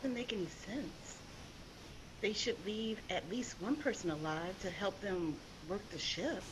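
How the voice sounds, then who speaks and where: A woman's voice: medium pitch, measured pace, noisy background, lightly acted. A woman playing a tough young survival specialist in a sci-fi table read, firm and matter-of-fact. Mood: questioning.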